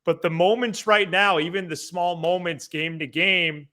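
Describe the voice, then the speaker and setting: A man co-hosting a sports podcast in casual chat, halting and repeating words as he goes. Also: sits high, pushed and bright.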